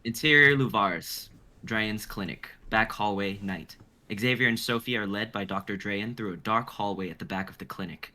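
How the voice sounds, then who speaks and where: Bright-voiced young man, lowish pitch. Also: normal speed. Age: 20s. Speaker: writer and narrator of a script reading. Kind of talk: casual chat and stage-direction narration.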